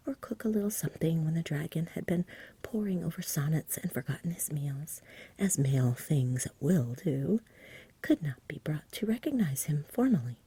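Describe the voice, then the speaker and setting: A woman softly reading a storybook in a hushed, breathy ASMR whisper with a gentle delivery. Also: deep voice, no echo. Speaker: reader, ASMR host.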